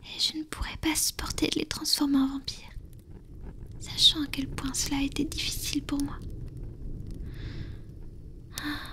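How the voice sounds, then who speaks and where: A woman voicing an ancient, powerful female vampire and tender lover in a French ASMR romance roleplay, with a soft breathy whisper and intimate, halting delivery. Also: high voice, flat delivery. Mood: wistful, confiding. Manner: slow, intimate, halting with pauses.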